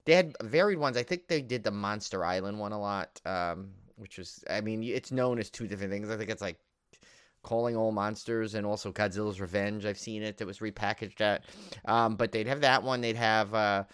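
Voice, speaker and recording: A man's voice: fairly low pitch, clear, resonant tone, dry recording, clean studio sound. An American man co-hosting a podcast in casual chat, rambling enthusiastically and often circling back on himself. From New York area, United States. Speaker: podcast co-host. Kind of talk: casual chat about horror movies.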